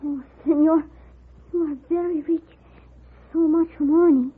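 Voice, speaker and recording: A small Mexican beggar boy in an old radio western. The voice is very high, pleading and sly, with a Spanish accent. It sounds narrow and muffled, like an old broadcast. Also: fairly even intonation, unhurried. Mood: pleading, wheedling. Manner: pleading broken English. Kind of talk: 1950s radio western acting.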